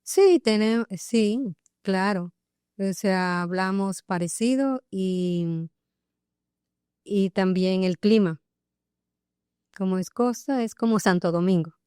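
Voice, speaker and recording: Slow-spoken Colombian woman with clear voice. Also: medium pitch, darkish tone, no room sound, clean studio sound. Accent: Colombian Caribbean coast. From Barranquilla, Colombia. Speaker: interview guest, physician and professor. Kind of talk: casual podcast conversation.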